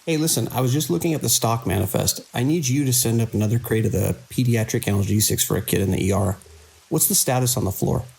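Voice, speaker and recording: Gravelly middle-aged male hospital director, played by an actor, weary, caring, measured, dry, audio drama. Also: medium pitch, a little mellow, natural voice, rough recording. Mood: concerned.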